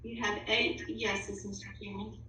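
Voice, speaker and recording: A woman's voice: medium pitch, little pitch movement, measured pace, sharp tone. A woman reading a written statement aloud formally, as an official at a public board meeting. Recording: echoey room, distant mic, faint noise.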